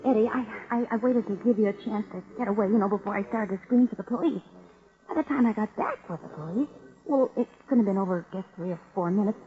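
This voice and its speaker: A woman's voice: medium pitch, fast, lightly acted. An actress playing a tough but easily hurt small-time crook's woman in a 1940s radio crime drama, slangy and emotional. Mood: nervous, puzzled. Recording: muffled vintage 1940s radio recording.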